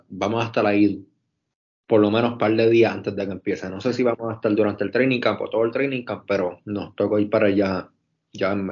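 A young man from Puerto Rico with a Puerto Rican accent, a basketball coach answering interview questions earnestly, often repeating words. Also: on the low side. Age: young adult. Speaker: basketball coach and video coordinator. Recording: dull, muffled audio.

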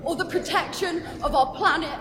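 A woman's voice: extremely high pitch, fairly quick, over music, crowd noise. A woman giving an emphatic, declamatory protest speech in a raised voice. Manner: emphatic, declamatory rhetorical questions. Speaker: climate protester.

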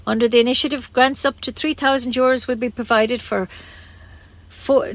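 A woman's voice: on the high side, fairly bright tone. A woman with an Irish accent reading scripted local news as a community radio newsreader.

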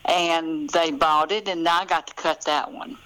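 Older woman, lower-pitched voice. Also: steady tone, unhurried, a bit bright, no room sound, rough recording. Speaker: author being interviewed. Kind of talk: conversational interview storytelling.

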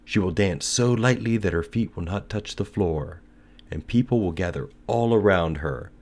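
A man's voice: sits low, moderate pace, darkish tone. A man voicing a lovesick young male student in a theatrical, lamenting storybook manner. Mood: wistful.

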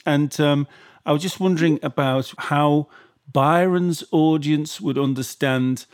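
A man interviewer putting a question in a halting, conversational way. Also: fairly high pitch, smooth. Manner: hesitant, halting phrasing.

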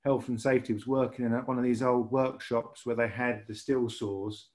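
A man's voice: mid-range voice, flat delivery, moderate pace, darkish tone. A man with a Lancashire accent, a podcast guest telling his story in a rambling way, with many restarts. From Lancashire, England. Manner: rambling, repeats words and restarts often. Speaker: podcast guest, rail industry manager. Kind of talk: casual podcast interview.

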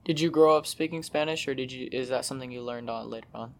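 A man interviewing a teacher, asking quick, curious questions. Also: medium pitch.

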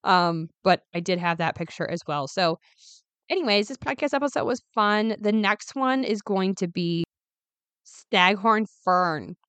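A woman in her twenties hosting her own podcast in a casual, chatty way, her voice still raspy from being unwell. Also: medium pitch, animated, unhurried, fairly bright tone, no echo. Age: 20s. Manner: chatty, upbeat, many ums and asides. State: raspy, recovering voice. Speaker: podcast host. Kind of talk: casual solo podcast chat.

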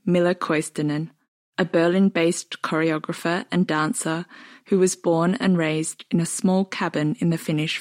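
A woman hosting a podcast, reading a calm introduction and asking measured interview questions. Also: lowish voice, no room sound, studio quality. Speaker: podcast host, dance artist.

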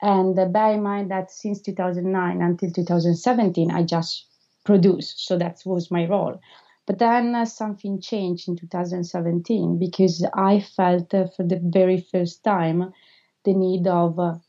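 A woman in her thirties from Italy with an Italian accent, answering interview questions as a filmmaker, pausing often with filler sounds and non-native phrasing. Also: fairly low pitch, steady tone, mellow, lo-fi sound.

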